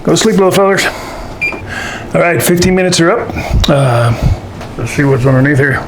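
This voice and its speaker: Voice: mid-range voice, animated, moderate pace, noisy background. A folksy, jokey host casually talking through a baking demonstration to camera. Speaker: host of a paranormal show doing a baking segment.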